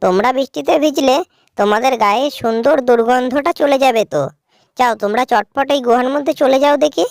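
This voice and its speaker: A woman's voice: high-pitched, fairly quick, darkish tone. A woman voicing a brave, helpful young village girl in a cartoon story, speaking in an earnest, simple way. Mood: reassuring.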